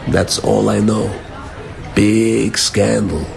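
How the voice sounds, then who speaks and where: An actor playing a world-weary, sardonic underworld informant in a radio drama. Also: male voice, lowish voice, steady tone, slow pace, vocal fry, a little mellow, some chatter behind, full character voice. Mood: sardonic, knowing. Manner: sardonic, knowing.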